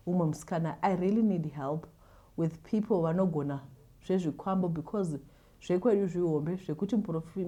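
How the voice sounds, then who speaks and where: Zimbabwean lady telling stories n giving advice, talks really quick, lowish voice, repeats words as she acts stuff out. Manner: animated retelling with acted-out quotes and repeated words. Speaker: host reading listener confessions and giving advice. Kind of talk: casual storytelling and advice.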